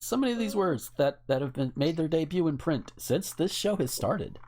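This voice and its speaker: A man's voice: on the high side, animated, moderate pace, mellow, slight background noise. A man hosting a casual podcast, reading out lists and adding informal, rambling asides. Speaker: podcast host.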